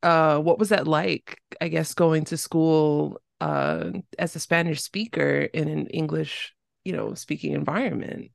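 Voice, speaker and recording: A woman's voice: low-pitched, a little slow, creaky, dry recording, clean studio sound. A woman from New York City hosting a podcast, reading her intro and then interviewing her guest with upbeat enthusiasm. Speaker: podcast host.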